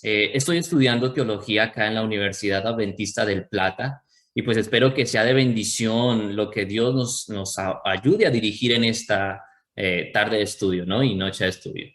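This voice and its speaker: A man's voice: lowish voice, normal speed, fairly bright tone. A young man in his twenties from Colombia, with a Colombian accent, a friendly theology student guest. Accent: Colombian Spanish.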